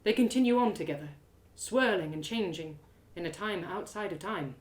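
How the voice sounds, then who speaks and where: A woman voicing a solemn, gentle elf mentor in a one-woman audio drama, speaking in a measured, earnest, instructive way. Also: lowish voice, animated, lightly acted.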